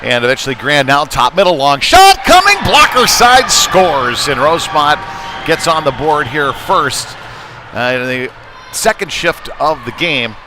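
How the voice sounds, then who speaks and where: A man's voice: on the high side, measured pace. A man calling a live youth hockey game rinkside in a conversational, hesitant way that lifts with the action. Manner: conversational, hesitant, rising excitedly on shots. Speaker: play-by-play hockey commentator. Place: rinkside at an indoor hockey arena. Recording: noisy rink ambience behind voice.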